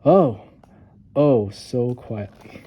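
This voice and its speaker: A man narrating a children's storybook with expressive, dramatic emphasis on key words. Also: mid-range voice, very slow, breathy edge. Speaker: storytime reader.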